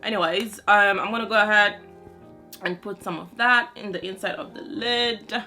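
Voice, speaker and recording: A young woman with French as her first language hosts a casual makeup tutorial, full of sassy slang and playful asides. Her voice is bright and clear, sitting in a middle range. Soft music plays faintly behind her. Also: medium pitch, normal speed. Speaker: beauty vlogger.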